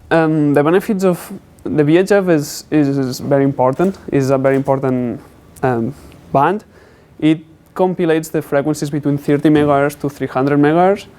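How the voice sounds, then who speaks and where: Young man giving a halting technical presentation. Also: medium pitch, dark tone, clean tone. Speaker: student presenter.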